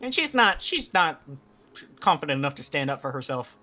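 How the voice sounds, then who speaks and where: Bright-voiced, animated, blunt man. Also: fairly high pitch, a little fast. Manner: blunt, opinionated, profane. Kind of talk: casual chat, gaming commentary.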